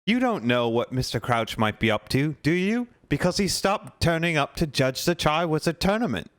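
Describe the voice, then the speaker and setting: A man's voice: a little high, sing-song, moderate pace, fairly bright tone, dry recording, voice pushed up. A man voicing a curious, brave teenage schoolboy who talks in a friendly, coaxing way.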